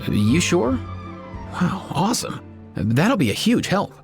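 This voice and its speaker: A man's voice: sits high, sing-song, takes it slowly, faint music behind, full character voice. An actor playing a laid-back, wry young man with a casual slangy manner in a video game. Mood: teasing. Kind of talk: video game cutscene voice acting.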